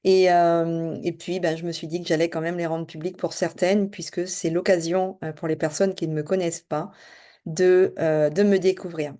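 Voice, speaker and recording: Fast-talking middle-aged woman, mellow low voice. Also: level tone. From French Guiana. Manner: fast-talking, hesitant with many fillers. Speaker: spiritual and business coach. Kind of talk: casual self-introduction to camera.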